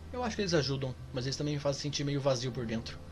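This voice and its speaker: A man reading the part of a quiet, troubled young man in a mask in a game, in a soft, subdued way. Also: medium pitch, expressive, racing, slight background noise, lightly acted. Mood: weary.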